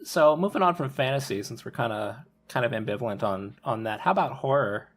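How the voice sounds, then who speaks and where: A man's voice: mid-range voice, expressive, brisk. Rambling man hosting a casual podcast. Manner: rambling, many fillers and restarts. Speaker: podcast host.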